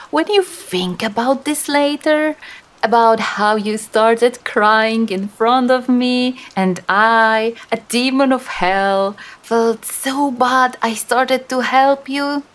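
A woman acts out a female demon for an ASMR roleplay, teasing yet sympathetic. Her voice sits fairly high and moves very slowly, with soft, coaxing delivery. Dramatic hesitations keep it intimate. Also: expressive. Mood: sly, smug.

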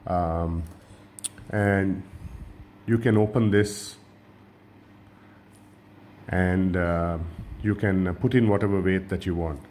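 Male tutorial host from Sri Lanka, lowish voice, easygoing and repetitive delivery, camera mic at a table. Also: slow pace, long pauses, slight background noise. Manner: relaxed, repeats words and restarts often. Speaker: fishing channel host. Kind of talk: hands-on tutorial.

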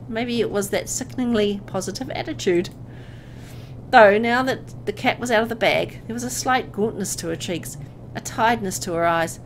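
A woman reading a visual novel aloud as dramatic scripted narration, with an expressive storytelling delivery. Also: medium pitch, a little hiss or hum, rough recording.

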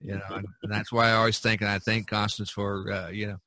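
A man hosting a podcast in casual chat, talking in a halting, conversational way. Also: on the low side, little pitch movement, pushed and bright, no room sound. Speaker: podcast host. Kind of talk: casual chat about topics from a list.

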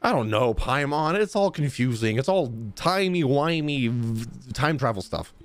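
A man's voice: mid-range voice, animated, unhurried, slight background noise. A man in his thirties giving casual live reaction commentary on a game stream, slangy and playful. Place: indoor streaming room. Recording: game music behind the voice.

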